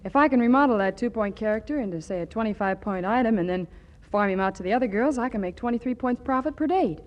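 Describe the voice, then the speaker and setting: A woman's voice: fairly high pitch, animated, fast, muffled recording, lightly acted. A woman playing a brassy scheming flirt. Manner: brassy, wisecracking. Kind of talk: 1940s radio comedy acting. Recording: hissy 1940s radio recording.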